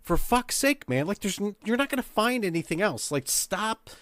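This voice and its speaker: A man's voice: sits high, very animated, normal speed, a bit bright, no echo. A man telling casual game master anecdotes, swearing and acting out the dialogue as he goes. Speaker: podcast panelist and game master.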